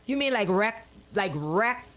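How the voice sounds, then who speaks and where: A woman's voice: medium pitch, lively intonation, unhurried, fairly bright tone, a little hiss or hum. A woman hosting casual radio banter in a playful, incredulous, exclamatory way. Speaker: radio host.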